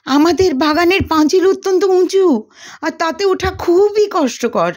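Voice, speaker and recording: A woman's voice: extremely high pitch, expressive, a little slow, darkish tone, voice pushed up. A woman voicing a young female student in a storybook, dramatic and easily exasperated, with an emphatic, exclaiming manner. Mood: anxious, pleading. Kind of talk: storybook character dialogue.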